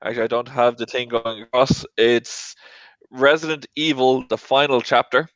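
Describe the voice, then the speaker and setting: Male host, mid-pitched, casual panel chat about movies, lo-fi call audio. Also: little pitch movement, moderate pace, fairly bright tone, dry recording. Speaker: show host.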